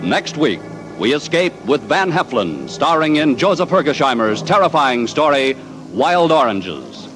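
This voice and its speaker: A man giving a formal, measured sign-off on 1940s network radio. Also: on the high side, big pitch swings, over music, poor audio. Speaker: radio announcer.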